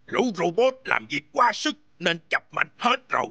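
An actor voicing an anxious, fretful villain's henchman creature in a cartoon, with a creaky voice. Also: high-pitched, sing-song, normal speed, bright, forceful tone, dry recording, exaggerated voice. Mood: worried. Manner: fretful, urgent.

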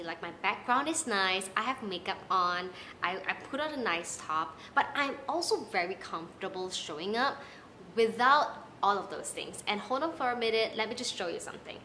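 A young woman from Malaysia with a Malaysian accent, giving casual, animated advice to the camera from her living room. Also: medium pitch, brisk, a little hiss or hum. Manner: chatty and animated, gesturing with her hands. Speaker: content creator. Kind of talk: casual talking-head vlog advice. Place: small apartment living room, indoors.

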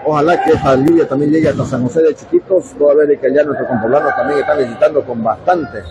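Bolivian male futsal commentator over loud noise. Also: fairly high pitch, steady tone, quick pace. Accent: eastern Bolivian Spanish. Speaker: radio sports commentator. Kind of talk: live futsal match commentary. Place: indoor coliseum sports court, from the stands. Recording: very noisy live sports hall. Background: loud ambient noise of a futsal match.

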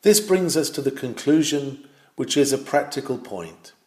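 A man's voice: medium pitch, animated, normal speed, darkish tone, reverberant. A man reading an old sermon aloud in a solemn, exhorting manner, with rising and falling emphasis. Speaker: reader of a historical sermon.

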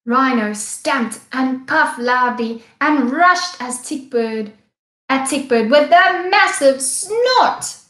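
A young woman reading a picture book aloud with deliberate, careful phrasing for small children. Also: sits high, expressive, pushed and bright. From South Africa. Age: young adult. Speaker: children's story reader, teacher. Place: small indoor classroom-style room. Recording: echoey room.

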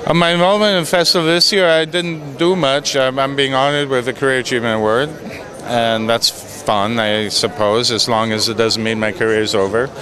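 A man's voice: mid-range voice, brisk, poor audio. A man in his forties, an award honoree, talking wryly and with hesitations in an outdoor red carpet interview. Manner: wry, relaxed, hesitant. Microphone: handheld mic. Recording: busy crowd outdoors.

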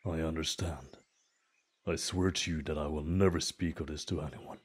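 A man playing a tender, desperate father in a fantasy audio drama, speaking in an earnest, halting, hushed husky voice. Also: a bass voice, steady tone. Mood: earnest, resolute.

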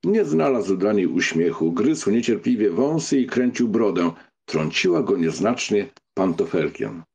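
A man reading literary fiction as an audiobook narrator, in an expressive storytelling style. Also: fairly low pitch, measured pace, gravelly, husky.

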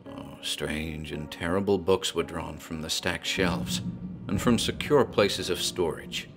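A man narrating a horror tale in a theatrical, storytelling manner, as a tavern landlord. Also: low-pitched.

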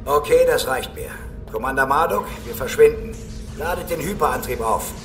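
An actor in a German audio drama playing a decisive, composed leader of space sheriffs, in a commanding manner. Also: male voice, medium pitch, normal speed, natural voice. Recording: music behind the voice.

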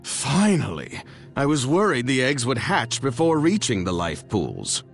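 Haughty, swooping young dragon prince. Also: male voice, mid-range voice, huge pitch swings, a little slow, soft background music. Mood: impatient, sarcastic. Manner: sardonic, theatrical. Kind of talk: fantasy game cutscene acting.

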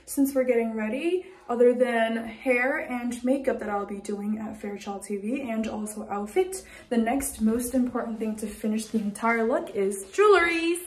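A woman's voice: fairly high pitch, moderate pace, few pauses, darkish tone, distant mic. Young woman vlogging in an echoey bathroom, native Cantonese speaker. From Canada, moved there while growing up. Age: young adult. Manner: chatty, informal. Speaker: TV host and vlogger. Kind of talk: casual vlog chat. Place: small home washroom, indoors.

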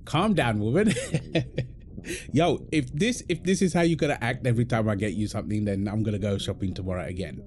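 Man acting an animated, doting young-love husband. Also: on the low side, brisk, slight background noise, close to an everyday voice. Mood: amused, flustered. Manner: teasing, affectionate, exclamatory. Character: playful, doting husband apologizing to his pregnant wife. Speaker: audio roleplay performer. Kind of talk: intimate boyfriend-style audio roleplay.

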